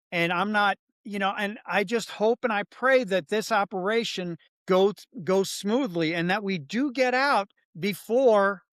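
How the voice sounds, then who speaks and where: A middle-aged man co-hosting a political podcast, talking in a measured, thoughtful way with frequent restarts. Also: high voice, a bit bright, no echo, studio quality. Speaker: podcast co-host. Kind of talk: political commentary.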